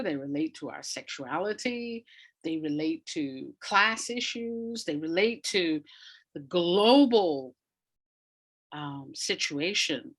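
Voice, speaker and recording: A woman speaking as an interview guest, reflective and emphatic, deliberately repeating words to stress her points. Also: low voice. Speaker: interview guest, audio drama writer.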